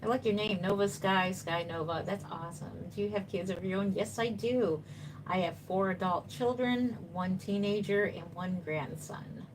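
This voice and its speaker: A woman's voice: medium pitch, normal speed, background noise. A woman in her fifties, a doll artist chatting casually on a live stream, reading viewer comments and answering them reassuringly while she paints. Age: 50s.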